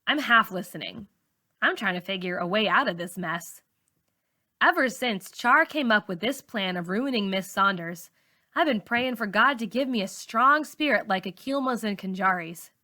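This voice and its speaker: Bright-voiced woman reading a story aloud. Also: mid-range voice, animated, normal speed. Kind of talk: expressive storybook narration.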